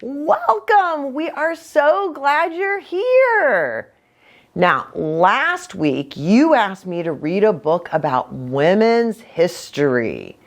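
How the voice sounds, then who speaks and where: A woman hosts storytime for young children with hugely swooping, animated intonation. She takes her time, asking questions and adding asides as she reads aloud. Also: a little high, drawn-out words. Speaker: children's ministry host and pastor.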